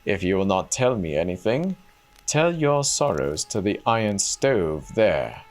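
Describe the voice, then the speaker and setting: A man as a stern, low-voiced aged king. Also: sing-song, a little slow. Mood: urging. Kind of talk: dramatic storybook reading.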